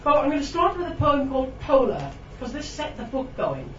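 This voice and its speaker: A Welsh woman with a fairly high voice talks to a room of listeners, self-mocking and full of ums. She reads her poems in a slow, lingering way. The recording is distant, echoey and muffled, with clear background noise. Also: big pitch swings. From Wales. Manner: chatty and self-mocking, with frequent ums and laughs; slow, lingering delivery when reading verse. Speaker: poet giving a reading. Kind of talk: poetry reading with chatty asides. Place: room with a live audience.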